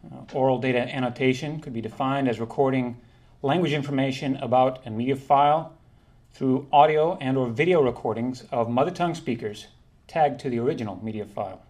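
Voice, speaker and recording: A man presenting a prepared linguistics talk, reading in a measured, formal way and sometimes correcting himself. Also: medium pitch, a little mellow. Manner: measured, formal delivery with occasional self-corrections.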